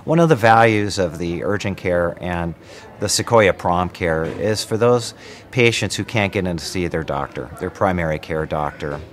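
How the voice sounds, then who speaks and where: A man speaking as an emergency doctor, explaining in a measured, matter-of-fact way in an interview. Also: low-pitched.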